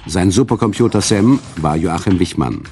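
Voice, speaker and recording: A man with a husky voice reading scripted closing credits as a radio announcer. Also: sits low, moderate pace, darkish tone. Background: music.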